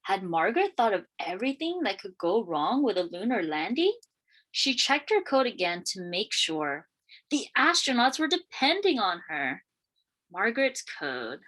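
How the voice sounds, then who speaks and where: A woman reading a children's picture book aloud in an expressive, deliberate storytelling manner. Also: medium pitch, rough recording. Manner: expressive, questioning lifts and deliberate phrasing. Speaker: read-aloud storyteller, teacher.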